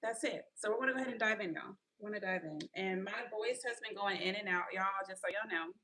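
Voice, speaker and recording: A woman in her thirties with a slightly nasal voice, hosting a live mindfulness talk. She explains slowly, repeats her definitions, and checks in often with "okay?" and "right?". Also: mid-range voice, pushed and bright, slight background noise. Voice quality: a little nasal from allergies. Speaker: mindfulness coach and livestream host. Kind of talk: live-streamed mindfulness teaching.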